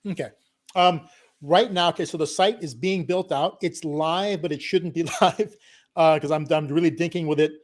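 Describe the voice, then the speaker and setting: A middle-aged man explains online business on a live stream, loud and emphatic, always checking in with "okay?" and "right?". His voice sits a bit high and swings widely as he drives each point home. He laughs often and talks like he is teaching. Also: moderate pace. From Filipino family. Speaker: guest co-host, digital marketing consultant.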